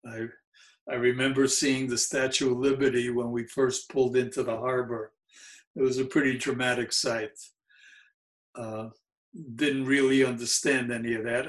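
A man in his seventies with a New York accent and Yiddish as his first language, recalling his childhood in an oral history interview, mellow and halting with frequent fillers. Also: mid-range voice, level tone, low-quality recording. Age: 70s.

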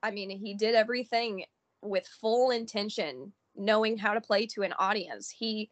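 A woman's voice: mid-range voice, normal speed, muffled recording. A woman co-hosting a podcast, a horn player who talks through musical details thoughtfully in casual conversation. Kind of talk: casual music analysis chat.

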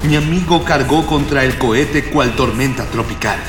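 An actor playing a grandiose, florid storyteller narrating a mock-epic radio adventure serial. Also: male voice, mid-range voice, normal speed, close to an everyday voice. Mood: grandiose, suspenseful. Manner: pompous, florid, dramatic. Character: grandiose storyteller, the male hero's loyal friend. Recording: echoey long reverb, loud music behind.

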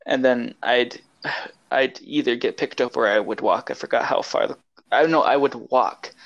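A man's voice: medium pitch, moderate pace, creaky, a bit bright, dull audio. A young man co-hosting a podcast, telling personal stories in a hesitant, rambling way. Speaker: podcast co-host. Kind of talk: casual chat, personal storytelling.

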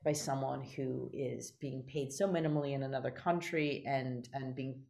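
A woman in casual podcast conversation, talking haltingly and repeating words as she searches for her thoughts. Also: extremely low pitch, steady tone, vocal fry, mellow. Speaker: podcast guest or co-host.

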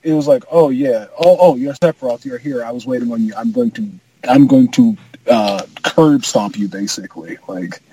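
A man's voice: medium pitch, normal speed, mellow, slight background noise, low-quality recording. A man with a middle-range voice talks as one of a podcast panel. His delivery is casual and slangy, and he often halts as he works out his point. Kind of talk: casual chat.

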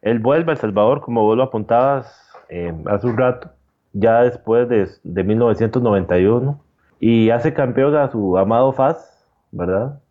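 A man talking conversationally as a guest, reflective and halting, often checking for agreement as he tells stories. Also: mid-range voice, phone-quality sound. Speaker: guest commentator. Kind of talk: conversational sports storytelling.

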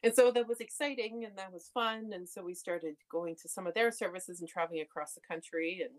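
A young adult woman from Canada, telling her story candidly in a relaxed conversation. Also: lowish voice, fairly quick. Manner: candid storytelling, laughs often. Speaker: podcast guest. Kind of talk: casual conversation.

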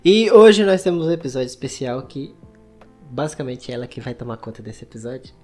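A man's voice: medium pitch, sing-song, fairly quick, a little mellow. A man hosting a casual couple podcast while he has a cold, teasing and laughing often. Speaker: podcast host. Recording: laptop mic, faint music.

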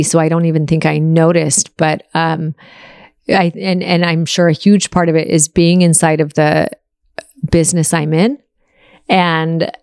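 A woman's voice: on the low side, a little mellow, smooth, no echo. Reflective woman in her forties, dry close sound. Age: early 40s. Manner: confessional, reflective, open. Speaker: podcast host answering listener questions. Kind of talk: candid, casual solo talk to listeners.

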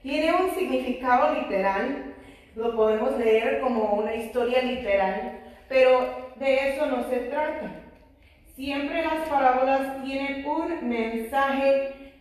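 Slow-speaking woman preacher in an echoey hall. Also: sits high, a little mellow. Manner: slow, prayerful, sprinkles amens and hallelujahs. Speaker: preacher teaching a Bible study. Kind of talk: church Bible study sermon with prayer. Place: church hall. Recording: very echoey room, voice far from mic.

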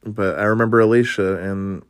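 Low-voiced rambling man, dry sound. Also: fairly even intonation, moderate pace, clean tone, dry recording. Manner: rambling and profane, with frequent laughs and fillers. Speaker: podcast host. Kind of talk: casual chat answering would-you-rather questions.

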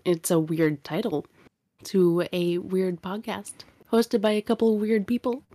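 A woman hosting a quirky podcast, bantering playfully with a drawn-out, teasing delivery. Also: medium pitch, steady tone, mellow. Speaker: podcast host.

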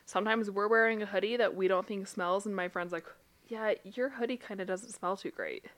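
A blunt woman co-hosting a casual podcast, who swears freely and laughs as she talks. Her voice sits at an ordinary pitch for a woman. She speaks with the frankness of a therapist chatting with a friend. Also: brisk, fairly bright tone. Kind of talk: casual chat.

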